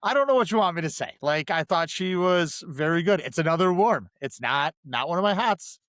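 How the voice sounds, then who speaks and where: A man's voice: fairly high pitch, animated, brisk, fairly bright tone, dry recording. A man co-hosting a podcast, talking casually about films and repeating himself for emphasis. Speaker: podcast co-host. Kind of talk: casual film review chat.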